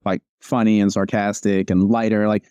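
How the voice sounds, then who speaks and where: A man, a comic strip creator, chatting casually as a guest, relaxed and filling his talk with "like" and "um". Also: on the low side, moderate pace, clear, resonant tone, no echo. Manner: relaxed, frequent "like" and "um". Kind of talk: casual conversation.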